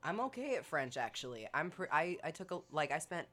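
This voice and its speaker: Animated young woman telling stories. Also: low voice, brisk. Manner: animated storyteller, many restarts. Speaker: podcast co-host. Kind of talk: casual chat.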